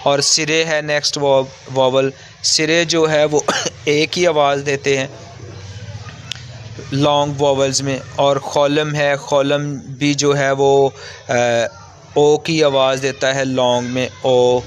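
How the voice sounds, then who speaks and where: A man giving an informal, step-by-step language lesson in a halting, repetitive way, explaining as a teacher does. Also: medium pitch, flat delivery. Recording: clearly noisy background.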